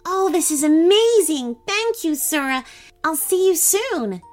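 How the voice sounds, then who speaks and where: A woman plays a young girl heroine in a storybook. She is earnest and expressive, timid but plucky, with a high, lively voice over faint music. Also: a little slow, full character voice. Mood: delighted, grateful. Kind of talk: children's storybook character voice.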